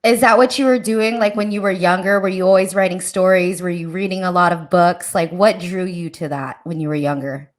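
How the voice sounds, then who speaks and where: A woman's voice: fairly low pitch, steady tone, fairly quick, hardly any pauses. A woman hosting a podcast, asking chatty, enthusiastic questions in a casual interview. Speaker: podcast host.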